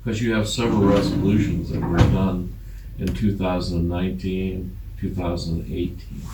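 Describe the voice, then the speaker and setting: Deep-voiced American man in an echoey room. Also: flat delivery, moderate pace. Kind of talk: questioning at a public meeting. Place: indoor council chamber. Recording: echoey room, distant mic, clear background noise.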